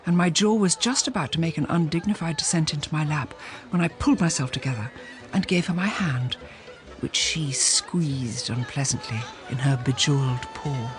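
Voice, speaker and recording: A woman's voice: low-pitched, animated, moderate pace. Jealous young english wife narrating, dry and sardonic, radio drama, music under her. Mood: wry, jealous. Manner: sardonic, relishing descriptive words. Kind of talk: first-person dramatised narration.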